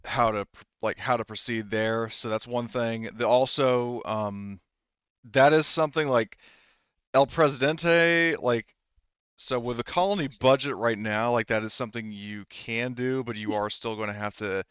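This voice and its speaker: Male game master, clear resonant tone on the low side, conversational and explanatory, slightly dull close recording. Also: a bit bright, no room sound. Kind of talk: casual tabletop game refereeing.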